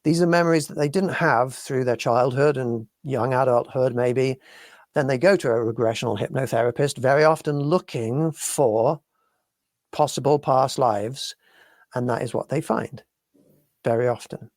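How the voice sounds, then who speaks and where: A British man from the UK hosting a talk show, reasoning through ideas in a measured way. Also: mid-range voice, a little mellow, dry recording, clean studio sound. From United Kingdom. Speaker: podcast host. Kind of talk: casual talk show discussion.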